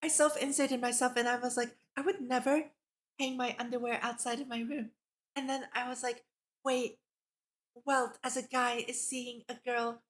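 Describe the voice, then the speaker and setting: A woman livestreamer reading a visual novel aloud and chatting casually, with a giggly, expressive manner. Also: fairly high pitch. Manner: giggly, expressive, exclaims in reaction to the text. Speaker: VTuber streamer hosting a visual novel read-along.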